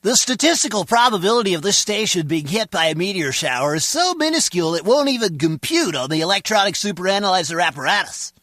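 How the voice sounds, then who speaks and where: A man's voice: sits high, lively intonation, quick pace, hardly any pauses, bright, forceful tone, dry recording. An actor playing a pompous, fussy male scientist in a video game, speaking with pedantic precision. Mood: smug.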